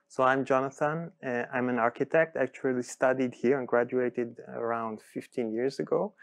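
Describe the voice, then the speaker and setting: A man in his forties, an architect and new faculty member, speaking in a measured way with some hesitant uhs. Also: medium pitch, no room sound. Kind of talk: panel discussion. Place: television studio set.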